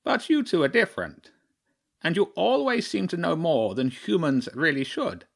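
A man's voice: a little high, very animated, normal speed, creaky, voice pushed up, exaggerated voice. A man voicing a stern, shrewd old crow chairman in a storybook, speaking formally and pompously. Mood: shrewd, measured. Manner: pompous, clipped, formal pronouncements.

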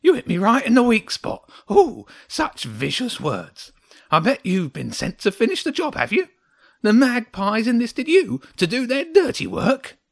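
A man voicing a grumpy, defensive little old hedgehog guard in a children's audiobook, indignant and mocking in a high, creaky voice. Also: very animated, unhurried, no room sound, studio quality, exaggerated voice. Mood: wounded, accusing. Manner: indignant, spitting, mocking, exclamatory. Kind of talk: children's audiobook character acting.

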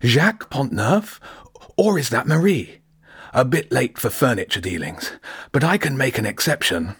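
A man voicing an elderly, genial dealer with a wheezy, husky voice and a slow, courteous, old-fashioned manner. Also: medium pitch, sing-song, fairly bright tone, dry recording, studio quality, pitched above the performer's own voice, full character voice. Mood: excited, warm.

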